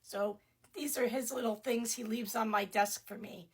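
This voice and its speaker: Hoarse chatty middle-aged woman. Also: fairly high pitch, steady tone, moderate pace. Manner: chatty, laughs often, many fillers. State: losing her voice, hoarse. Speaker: small shop owner. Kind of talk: casual product showcase. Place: her shop office.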